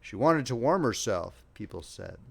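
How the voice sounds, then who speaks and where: A man narrating a fairy tale as an audiobook reader, in an expressive, theatrical storytelling manner. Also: medium pitch, moderate pace, creaky, breathy edge.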